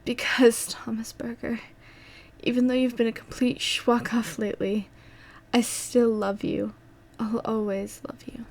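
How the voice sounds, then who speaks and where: Girl acting desperate young woman in love, audio drama, higher voice, breathy and pleading. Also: level tone, a little fast, hardly any pauses, warm tone. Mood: weak, tender.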